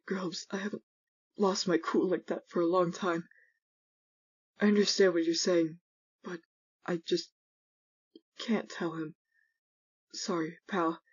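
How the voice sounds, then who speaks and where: Someone doing a casual husky skeleton guy, guarded n weary of his past, comic dub, muffled mic. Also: mid-range voice, darkish tone. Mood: regretful. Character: Sans, laid-back male skeleton hiding a painful past. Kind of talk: fan comic dub acting.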